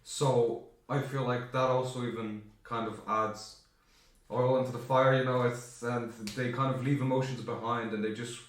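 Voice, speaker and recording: A man's voice: medium pitch, little pitch movement, moderate pace. A young adult man co-hosting a casual chat, talking earnestly and thoughtfully. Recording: echoey room, distant mic.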